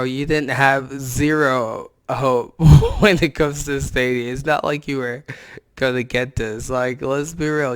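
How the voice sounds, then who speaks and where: A man hosting a gaming reaction video, hoarse from a cold, talking in a blunt, slangy, casual way. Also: mid-range voice, no echo. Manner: blunt, slangy, scolding the other creator. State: hoarse from a cold and sore throat. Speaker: reaction channel host.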